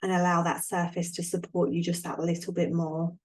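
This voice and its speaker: A woman with a slightly low-pitched voice guides a relaxation meditation. She speaks calmly and soothingly, leaving unhurried pauses between her gentle instructions.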